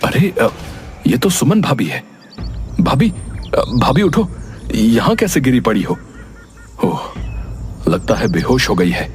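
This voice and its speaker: An actor playing a kindly, pious village neighbour in a cartoon story, folksy and exclamatory. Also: male voice, mid-range voice, big pitch swings, slow, pauses often, slight background noise. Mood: alarmed. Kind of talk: cartoon moral story acting. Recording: music behind the voice.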